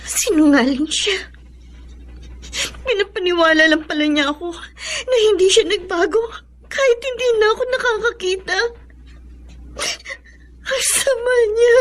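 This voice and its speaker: An actress playing a distraught young blind heiress in a radio drama, tearful and melodramatic, with a Filipino accent. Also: female voice, very high voice, a little slow, long pauses. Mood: anguished, tearful. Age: young adult.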